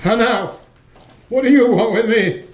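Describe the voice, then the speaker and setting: Guy playing grumpy old miser in a radio play, high voice, bitter, grumbles and blusters. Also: unhurried, a little hiss or hum. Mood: nervous, defiant. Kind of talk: theatrical radio play acting.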